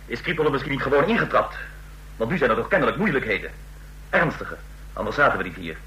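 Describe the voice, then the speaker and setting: An actor in a Dutch radio play voicing a sarcastic, distrustful regime official who needles and accuses. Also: male voice, mid-range voice, big pitch swings, fast talker, bright, forceful tone. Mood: needling, distrustful. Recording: roomy echo, faint radio hum.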